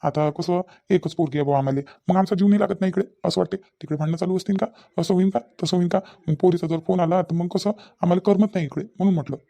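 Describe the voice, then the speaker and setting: A man's voice: high voice, quick pace, vocal fry, few pauses, dark tone. A man playing a concerned middle-aged village father in a comedy cartoon, reasoning earnestly with questioning tags, in a rural Vidarbha Maharashtrian accent. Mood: earnest, worried. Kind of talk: Marathi comedy cartoon dialogue.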